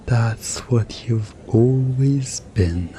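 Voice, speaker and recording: A man playing a mischievous, smugly commanding hypnotist in an ASMR roleplay, soothing and teasing with deliberate pauses. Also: medium pitch, warm tone, a little hiss or hum.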